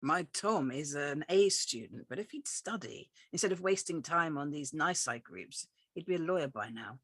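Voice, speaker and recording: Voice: mid-range voice, animated, normal speed, a bit bright, husky. A performer playing a stern, proud, middle-aged Japanese immigrant father who grumbles in clipped broken English at a script reading. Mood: bitter, defiant. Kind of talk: script table read.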